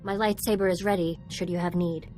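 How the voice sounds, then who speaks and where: A woman's voice: lowish voice, fairly even intonation, brisk, darkish tone, soft background music, natural voice. A woman playing an earnest young warrior apprentice in a video game, direct and questioning.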